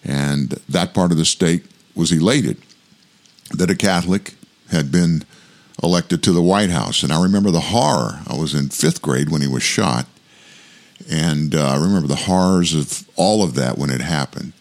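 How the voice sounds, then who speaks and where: Older man with a big, very low voice. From South Louisiana, US. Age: 60s. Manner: measured, reflective delivery. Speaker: commentary show host. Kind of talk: scripted political commentary monologue.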